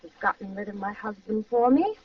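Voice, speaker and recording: A woman's voice: mid-range voice, lively intonation, fairly quick, distant mic, close to an everyday voice. A woman acting a cold, mocking femme fatale in an old radio crime drama. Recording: muffled old radio recording, off-mic.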